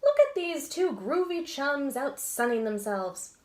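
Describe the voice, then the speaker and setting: A woman's voice: high-pitched, sing-song, unhurried, darkish tone, voice pushed up. A woman doing a cheery young zookeeper. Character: cheerful young male zookeeper showing children around. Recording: roomy echo.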